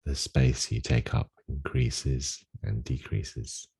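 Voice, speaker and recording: A man with a soft, husky voice guides a meditation, giving gentle instructions with long pauses. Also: rumbling low, steady tone.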